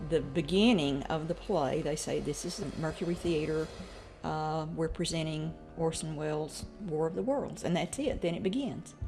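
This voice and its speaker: Low-voiced woman in an interview. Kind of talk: interview talk.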